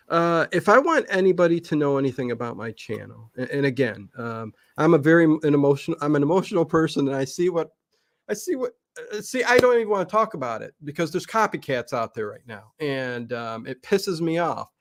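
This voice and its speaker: A man's voice: fairly high pitch, expressive, brisk, dry recording. A man talking casually as a guest on a livestream interview, rambling and restarting his sentences. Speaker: guest, online old-time radio channel creator.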